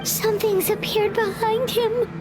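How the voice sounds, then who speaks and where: A woman voicing a tiny, childlike, excitable fairy companion with a squeaky voice for a video game. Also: measured pace, very noisy. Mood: alarmed, puzzled. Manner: excitable, refers to self in third person. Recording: loud background music.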